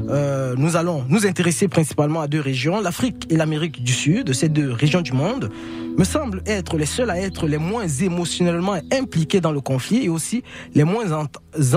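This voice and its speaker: A fairly high-pitched man hosts a radio show from a studio, measured and often pausing with small hesitations. Music plays clearly under his voice. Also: a little mellow. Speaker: radio host. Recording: radio broadcast with music behind.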